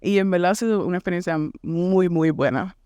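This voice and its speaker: Young woman, Puerto Rican accent, podcast guest, chatty, fillers and English words mixed in, smooth voice, dry close mic. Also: lowish voice, brisk, mellow, dry recording. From Puerto Rico. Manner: chatty, uses fillers and drops English words into her Spanish. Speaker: podcast guest, church worship resident. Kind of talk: casual podcast chat.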